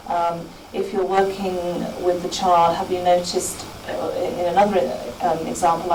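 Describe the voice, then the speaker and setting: A woman educator giving an unscripted, hesitant and measured explanation in an interview. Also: lowish voice. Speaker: educator working with schoolchildren. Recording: roomy, distant microphone, background noise.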